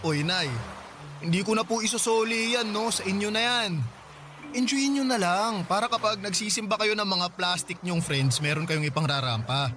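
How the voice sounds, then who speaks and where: An actor playing a devoted, playful grown son in a Tagalog radio drama, teasing and affectionate. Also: male voice, high voice, normal speed, sharp tone, a little hiss or hum. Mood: breezy, teasing. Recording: music bed behind the voice.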